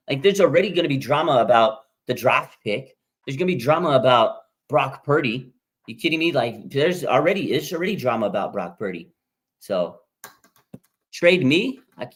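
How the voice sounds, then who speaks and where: An American man of about forty from Las Vegas, hosting a casual live stream and reading out fan comments in an excitable way. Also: moderate pace, breathy edge. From Las Vegas, Nevada, USA. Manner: excitable, with sudden pitch jumps. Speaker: livestream host.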